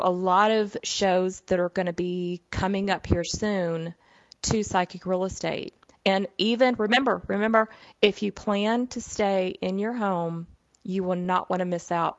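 A middle-aged woman with a Southern US twang talks casually and folksily, often dropping in "you know." Her pitch sits in a middling range. The recording is dry and a little dull, with no room sound. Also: no room sound. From southern United States. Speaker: podcast host, psychic medium. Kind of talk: casual solo monologue.

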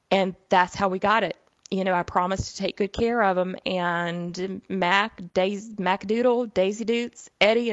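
A middle-aged woman with a Southern US twang talks casually and folksily, often dropping in "you know." Her pitch sits in a middling range. The recording is dry and a little dull, with no room sound. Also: no echo. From southern United States. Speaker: podcast host, psychic medium. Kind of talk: casual solo monologue.

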